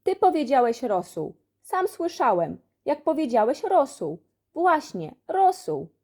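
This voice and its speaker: A woman's voice: sits high, very animated, unhurried, dark tone, pitched above the performer's own voice, heavily acted. A woman voicing a tearful, tattling schoolboy in a children's story, protesting shrilly and insistently. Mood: accusing.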